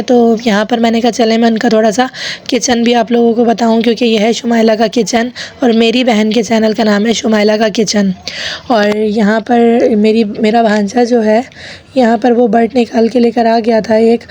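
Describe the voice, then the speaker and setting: A woman in her thirties with a hoarse voice from a sore throat, chatting to viewers as she narrates her family vlog. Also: fairly high pitch, darkish tone. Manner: chatty, addresses viewers directly. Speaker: vlogger. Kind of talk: casual vlog voice-over narration.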